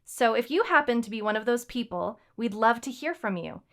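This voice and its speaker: A woman's voice: fairly high pitch, expressive, brisk. A woman co-hosting a podcast in a casual, chatty style. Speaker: podcast co-host. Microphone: podcast microphone on a boom arm.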